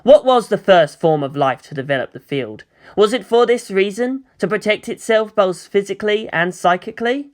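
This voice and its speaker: A woman's voice: medium pitch, animated, normal speed. A woman narrating scripted fiction in a dramatic audiobook style.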